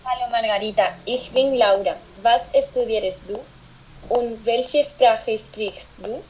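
A young female student interviewer reads her questions carefully. Her voice is slightly high and her pace is slow. She sounds plain and polite. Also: fairly even intonation, darkish tone, slight background noise, poor audio. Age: young adult. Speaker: student podcast host.

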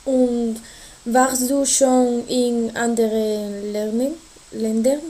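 A young female student hosts a podcast and reads her lines carefully. Her voice sits fairly high but sounds mellow and soft. She speaks very slowly. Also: fairly even intonation. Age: young adult. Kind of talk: scripted podcast intro.

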